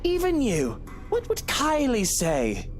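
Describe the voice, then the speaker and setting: A woman's voice: fairly high pitch, huge pitch swings, takes it slowly, faint music behind, pitched above the performer's own voice, exaggerated voice. A woman voicing a smarmy, menacing male villain in a visual novel, with mock-friendly sarcasm and indignation. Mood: sarcastic, indignant. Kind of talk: visual novel character acting.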